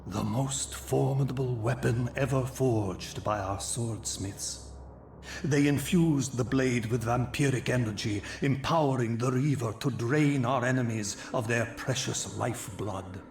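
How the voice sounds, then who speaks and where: A male actor playing an ancient, benevolent vampire mentor with solemn, measured, formal speech in a fantasy game. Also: mid-range voice, a little mellow, slight background noise, natural voice. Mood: reverent. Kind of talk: dramatic fantasy game cutscene acting. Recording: echoing chamber, some background ambience.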